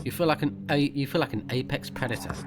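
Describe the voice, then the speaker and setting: Guy streaming a game, mid-range voice, blunt and expressive, game music clearly behind him. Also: medium pitch, quick pace. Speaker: let's play streamer. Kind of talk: casual gameplay commentary with read-aloud game text.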